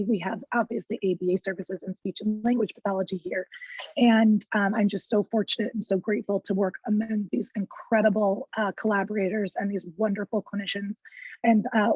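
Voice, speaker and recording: A woman with a scratchy, hoarse voice, introducing a webinar as a clinical director with many ums and uhs. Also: medium pitch, darkish tone, no room sound, muffled recording. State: hoarse, lost voice. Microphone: video call.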